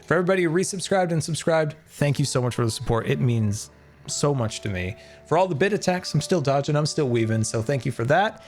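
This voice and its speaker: A man chatting casually on a game live stream, excitable and talkative, thanking his viewers as he plays. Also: on the high side, a little fast, soft background music.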